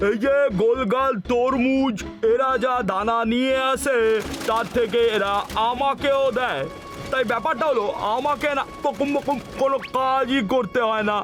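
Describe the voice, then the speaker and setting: A man's voice: extremely high pitch, steady tone, moderate pace, music in the background. A man voicing a pompous, greedy cartoon pigeon who works as an estate agent and peppers talk with cooing catchphrases. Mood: smug, demanding. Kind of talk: exaggerated cartoon comedy acting.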